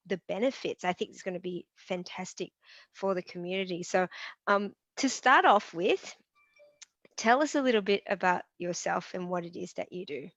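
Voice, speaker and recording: A woman's voice: fairly low pitch, dry recording, highs cut off. A woman hosting a live online interview, often restarting her sentences and using many fillers. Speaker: host.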